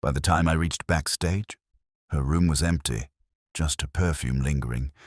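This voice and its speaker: Casting: man reading as timid young understudy woman for a detective story, soft, hesitant, low-pitched. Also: normal speed, dry recording, studio quality. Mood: wistful, uneasy.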